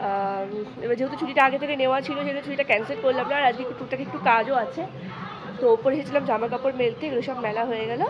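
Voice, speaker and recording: A woman's voice: high voice, very fast, highs cut off, crowd noise. A young woman with a West Bengal Bengali accent chats casually and directly to her viewers as a daily vlogger. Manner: conversational, addresses viewers directly. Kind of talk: casual vlog chat to viewers.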